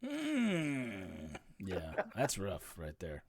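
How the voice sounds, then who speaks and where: A man's voice: deep voice, huge pitch swings, very slow, creaky. A man co-hosting a podcast, asking curious questions and giving short agreeing replies. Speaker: podcast co-host. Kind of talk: casual podcast chat.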